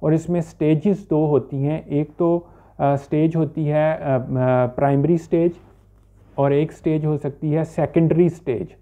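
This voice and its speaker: A man, a homeopathic doctor giving an informal, halting medical explanation to camera with English terms mixed in. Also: fairly high pitch, steady tone, warm tone. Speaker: homeopathic doctor presenting a health video. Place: small furnished indoor room. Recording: dull, limited-bandwidth phone-like sound.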